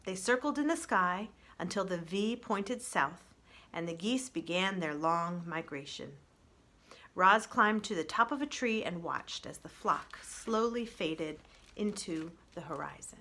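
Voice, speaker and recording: A woman reading a children's novel aloud in an expressive, measured, gentle storytelling style, softening at phrase ends. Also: lowish voice.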